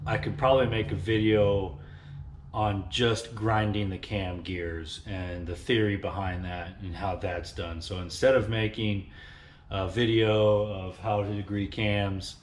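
A man's voice: on the low side, steady tone, a little slow, slight background noise. A man in his thirties explaining engine work in a casual workshop tutorial, plain-spoken with frequent uhs and restarts, recorded in a home garage. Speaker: engine builder explaining cam timing. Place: home garage with cars and a car lift. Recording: echoey garage.

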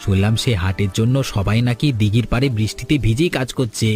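A man's voice: on the low side, fast talker, darkish tone, faint music behind, natural voice. A man playing a crafty henchman to a village boss in a cartoon folk tale, coaxing and obsequious. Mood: scheming.

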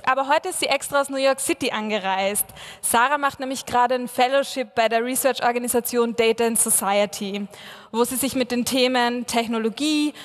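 A woman hosting an event, delivering a scripted stage introduction for a speaker. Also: a little high. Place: large conference hall on stage, indoors. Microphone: podium microphones. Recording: echoey hall with long reverb.

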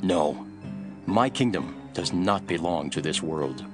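An actor playing a calm, resolute male prisoner on trial in a scripture audio drama, measured and earnest. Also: low voice. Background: music.

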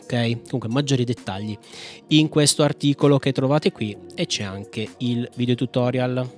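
A man's voice: mid-range voice, normal speed. Chatty man hosting a tech tutorial, music behind. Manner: informal, chatty, thinking aloud. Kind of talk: casual software walkthrough, talking while trying commands. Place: indoor room at a computer, screencast with small webcam.

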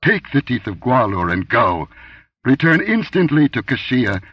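Man voicing an inhuman goddess, booming, commanding, raised and loud, dull distant recording. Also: big pitch swings, measured pace, breathy edge, no echo. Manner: booming, thundering pronouncements.

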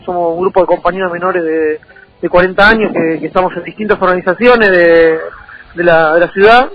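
A man's voice: high-pitched, fairly even intonation, brisk, highs cut off, soft background music. A man in his thirties with a Rioplatense Argentine accent, answering conversationally as a political candidate in a radio interview, often repeating words as he goes.

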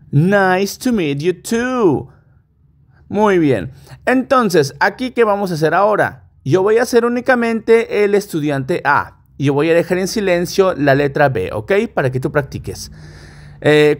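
A man from Peru with a Peruvian Spanish accent, a native Spanish speaker teaching English step by step and modelling phrases deliberately for repetition. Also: sits high. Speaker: English teacher, online course host. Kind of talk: step-by-step language lesson with repetition drills.

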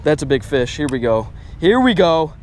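A man's voice: on the high side, big pitch swings, moderate pace, slight background noise. A young man chatting casually in an exclamatory, slangy fishing vlog style, often repeating his phrases. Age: young adult. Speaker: angler vlogger. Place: outdoors on a bass boat on open water. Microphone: body-worn action camera.

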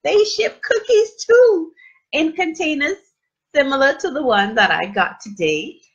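A woman's voice: high voice, animated, unhurried. A middle-aged woman hosting a casual live unboxing from a small room, gushing and exclaiming over what she shows, with many fillers. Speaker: livestream host. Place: small indoor room with plain wall. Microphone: webcam at desk distance. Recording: dull lo-fi webcam audio.

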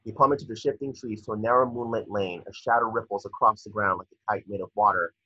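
A young man narrates a screenplay over a dull, dry video call. His voice is low but forceful, with a husky grain. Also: fairly even intonation, quick pace, no room sound. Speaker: stage directions reader. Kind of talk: scripted narration of screenplay directions. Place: indoor room at home, on a video call.

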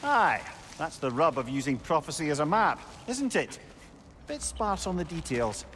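A man's voice: a little high, sing-song, moderate pace, fairly bright tone, slight background noise. A man playing a chatty, worldly old companion in a video game, with a droll, witty way of talking. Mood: wry. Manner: droll, talkative, wryly witty. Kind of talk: video game dialogue acting.

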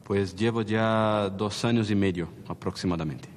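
Measured Brazilian-born man. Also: fairly low pitch, long reverb tail. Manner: measured, articulate. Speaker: inmate.

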